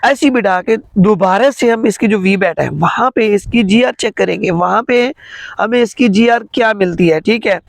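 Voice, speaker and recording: Guy with high kinda gravelly voice doing a repair tutorial, dry sound, stop-start, keeps checking in w viewers. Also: dry recording. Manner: informal, halting, constantly checking in with listeners. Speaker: phone repair tutorial host. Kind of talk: step-by-step hands-on repair tutorial.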